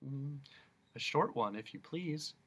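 A man voicing a timid, polite young boy who speaks softly and hesitantly. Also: medium pitch, expressive, takes it slowly, slightly rough, full character voice. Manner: soft and hesitant.

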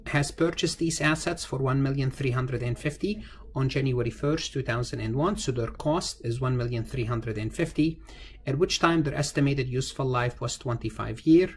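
A man teaching an informal step-by-step tutorial, explaining as he goes, repeating phrases and asking himself questions that he then answers. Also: mid-range voice. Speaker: accounting lecturer. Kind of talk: informal tutorial lecture, thinking aloud through a worked example. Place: indoors, screen-recorded voice-over. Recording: noticeable background noise.

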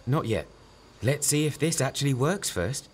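A man's voice: medium pitch, animated, normal speed, slight background noise, natural voice. An actor playing a concerned, conciliatory and slightly hapless middle-aged father in a radio soap. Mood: sheepish.

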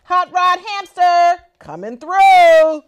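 A woman voicing a small, confident male hamster racer in a storybook, excited and emphatic. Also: very high-pitched, slow pace, voice pushed up, exaggerated voice. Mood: triumphant.

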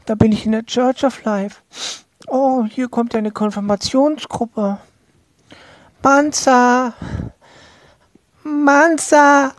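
A man puts on a meek male sidekick for a crude audio comedy. The voice is very high and quiet, naive and hesitant. The sound is dry and close. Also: steady tone, takes it slowly, long pauses, no room sound. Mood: bored, friendly. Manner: timid, quiet, hesitant objecting.

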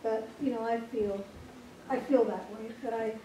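A woman's voice: a little high, fairly even intonation, moderate pace, mellow. A woman sharing a personal comment in a group Bible discussion. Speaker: Bible class participant. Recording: distant mic, echoey noisy room.

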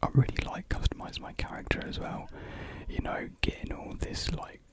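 A young man whispers softly and breathily in a casual, rambling ASMR chat, very close to a desk condenser microphone. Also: fairly quick. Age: young adult. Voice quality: soft breathy unvoiced whisper. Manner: rambling, informal. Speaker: ASMR creator. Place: small indoor room with painted block walls. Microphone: large desk condenser mic close to the mouth.

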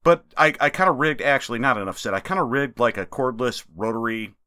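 A man commenting over a video game in a casual, joking, self-mocking way with sudden loud swings. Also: mid-range voice, brisk, smooth, no room sound, clean studio sound. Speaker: gaming YouTuber. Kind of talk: casual let's-play commentary.

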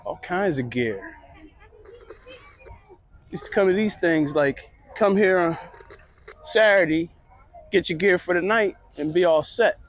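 A middle-aged man gives relaxed, chatty walking commentary at an outdoor flea market, recorded on a handheld phone. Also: sits high, unhurried, pauses often, dry recording. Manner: relaxed, chatty, pointing things out. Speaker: vlogger. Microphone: handheld phone camera.

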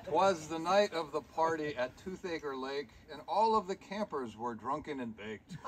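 A man's voice: a little high, a bit bright, exaggerated voice. A man voicing a grumbling puppet who recites comic rhymes theatrically in a noisy live puppet show. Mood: playful. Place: live talent show, recorded from the audience.

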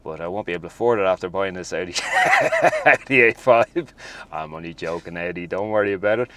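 Enthusiastic deep-voiced car reviewer. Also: male voice, sing-song, fairly quick, a bit bright, no room sound. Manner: chatty and enthusiastic, addresses viewers as folks. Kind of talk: casual video walkthrough. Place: inside a parked car.

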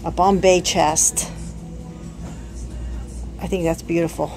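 A woman's voice: on the low side, steady tone, slow, darkish tone, faint music behind. A woman in her fifties shows her antique booth items, chatting casually with frequent ums and self-corrections. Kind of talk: casual show-and-tell vlog. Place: living room, then car and antique mall.

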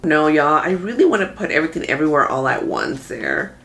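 A woman in her thirties talking casually as a movie vlogger, thinking out loud in an informal, conversational way. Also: low-pitched, big pitch swings, a little fast, slight background noise. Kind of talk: casual chatty commentary. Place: small room at home. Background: background music.

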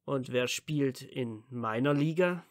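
An actor playing an earnest, playful young wizard hero in a fan fiction audio drama, sincere and bantering. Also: male voice, mid-range voice, slow pace, no room sound, close to an everyday voice. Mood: earnest, thoughtful.

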